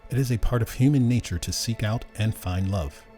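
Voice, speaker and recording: A man delivering scripted documentary narration in a measured, even way. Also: lowish voice, soft background music. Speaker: narrator and interviewer.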